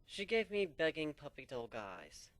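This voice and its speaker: A man narrating a fan story in the first person as an amateur dramatic reading, animated and sinking low for suspense. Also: measured pace, no room sound. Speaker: story reader.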